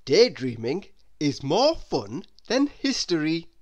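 A woman narrates a children's story in a lowish voice with sweeping intonation. She takes her time, reading very slowly and stretching out phrase endings expressively. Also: big pitch swings, a little mellow. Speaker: storybook reader. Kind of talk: children's read-aloud narration.

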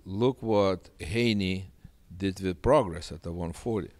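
Deep-voiced man. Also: measured pace, a little mellow. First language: Russian. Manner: earnest, emphatic, repeats phrases. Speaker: boxing manager. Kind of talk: sit-down interview. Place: indoor studio set with sofa. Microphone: handheld mic.

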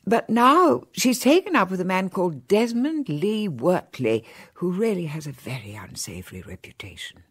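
A woman's voice: fairly low pitch, sing-song, moderate pace, few pauses, husky. A woman playing a genteel elderly grandmother who chats in long, well-bred lists, in a radio play. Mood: worried. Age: older. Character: genteel elderly English grandmother, chatty and worried. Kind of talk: radio drama acting.

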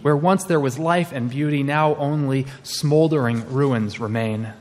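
A man's voice: medium pitch, measured pace, a little mellow, in a large hall, close to an everyday voice. A man voicing squawking tropical birds in a storybook read-aloud. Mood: urgent.

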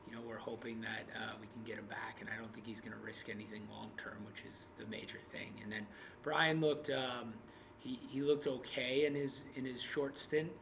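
A man in his thirties, a basketball coach, talking candidly in an interview with halting restarts. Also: medium pitch, fairly even intonation, creaky, noisy background. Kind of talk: post-game interview. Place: indoor media room in front of a backdrop.

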